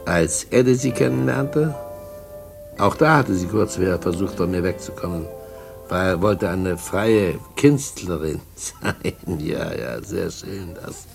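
Radio drama villain: low-pitched, smug, cajoling blackmailer with oily politeness, instrumental music underneath. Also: male voice, animated, takes it slowly, creaky, full character voice. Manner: smug, cajoling, mock-courteous, chuckling. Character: sly sinister male blackmailing drug dealer, mockingly polite. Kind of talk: mid-century German radio drama acting.

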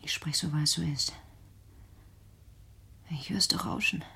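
A reclusive grown daughter in a radio drama, haunted by her own home. Her voice is low and very breathy, close to whispering, with an intense, brooding, fearful edge that turns to accusation. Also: a little slow, sharp tone, full character voice. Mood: hushed, eerie. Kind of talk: radio play acting.

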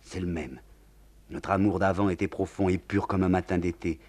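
A man voicing a tormented young woman who speaks in hushed, anguished tones. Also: low-pitched, fairly even intonation, normal speed.

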